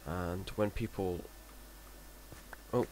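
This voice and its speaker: A man's voice: sits low, drawn-out words. A man, rambling hesitantly and self-deprecatingly through casual gameplay commentary. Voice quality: monotone, self-described. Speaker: Minecraft let's player. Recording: noisy home recording.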